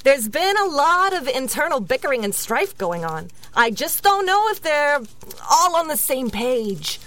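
An actress playing a frank, skeptical mayoral aide in a comedy audio drama, dishing gossip matter-of-factly. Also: female voice, high-pitched, sing-song, a little slow, a bit bright, full character voice. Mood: doubtful, gossipy.

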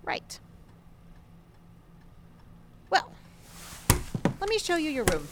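Brisk innkeeper mom, teasing, voice swings up and down. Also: female voice, high-pitched, wildly swooping pitch, noisy background, heavily acted. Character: brisk, teasing mother running a boarding house. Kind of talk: audio drama acting.

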